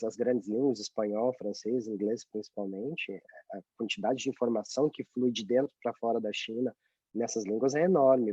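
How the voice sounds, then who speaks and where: A man's voice: mid-range voice, dark tone, no room sound. A Brazilian man speaking as a guest in a video call interview, explaining at length with frequent hesitations. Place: office in Beijing. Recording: muffled video call audio.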